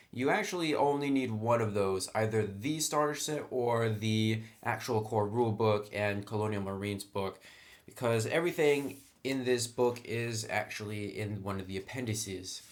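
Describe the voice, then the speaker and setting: A man hosting an informal review, explaining things step by step in a conversational, explanatory way. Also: fairly low pitch. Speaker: game reviewer, host. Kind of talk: informal product review commentary.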